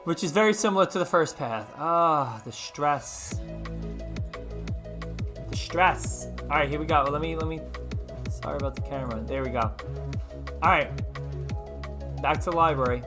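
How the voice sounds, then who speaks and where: A man's voice: fairly high pitch, moderate pace, pauses often, over music. A man hosting a casual read-aloud of an interactive storybook, with informal, chatty asides to his listeners. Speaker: host reading aloud.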